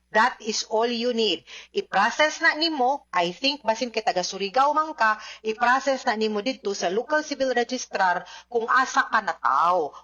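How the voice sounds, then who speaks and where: A woman's voice: fairly high pitch, bright, forceful tone, dull audio. A woman with a Cebuano Filipino accent explaining answers to listener questions as an advice host, slipping into English and adding little checking tags. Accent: Filipino, Cebuano Bisaya.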